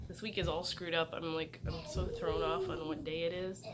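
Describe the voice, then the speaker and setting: A young woman chatting casually in a vlog, hoarse from a cold and rambling with many fillers. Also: sits low, flat delivery, normal speed, over music. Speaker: vlogger. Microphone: phone camera. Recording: distant mic with loud noise.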